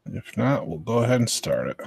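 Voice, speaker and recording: An American man casually hosting a livestream in a low-key, halting way. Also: very animated, creaky, a little mellow, slightly rough, no room sound. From southern Virginia, United States. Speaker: livestream host.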